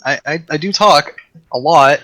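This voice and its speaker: A man chatting as a guest on a tech podcast, rambling with restarts, his voice getting raspy. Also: fairly high pitch, normal speed, a bit bright. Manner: rambling, many restarts and fillers. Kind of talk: casual tech chat.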